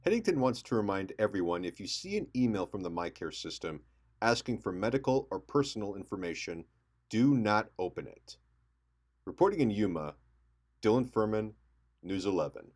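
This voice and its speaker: A man's voice: lowish voice, sing-song, moderate pace, a little mellow. A young man reading a scripted local news report as a reporter. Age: young adult.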